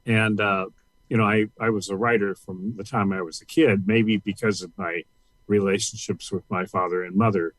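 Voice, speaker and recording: An older man with a low voice talks as a radio guest, thoughtful and measured, often restarting his sentences. The sound is dry and close, with no room around it. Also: steady tone, a bit bright, no echo, poor audio. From Missouri and Louisiana, US. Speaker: author guest. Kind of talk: casual interview talk.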